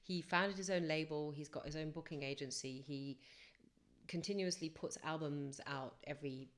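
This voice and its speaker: A woman's voice: sits low, moderate pace. A young woman, a singer-songwriter guest, chatting reflectively and rambling a little on a podcast interview. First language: English first language. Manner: chatty, reflective, rambling with fillers and self-corrections. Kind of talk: casual podcast interview storytelling.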